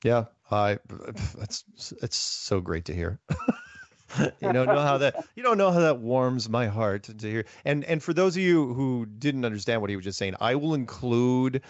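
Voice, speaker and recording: Animated man hosting, dry dull sound. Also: medium pitch, normal speed, no room sound. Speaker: host. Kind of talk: conversational interview with asides to listeners.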